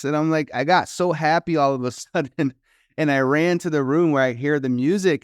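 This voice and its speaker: Man, middle-aged, from New York, podcast guest, reflective storytelling, code-switching into Spanish, crisp voice. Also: fairly high pitch, no echo, clean studio sound. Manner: reflective storyteller who slips into Spanish, many "you know" fillers. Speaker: guest, author and consultant. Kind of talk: casual interview talk.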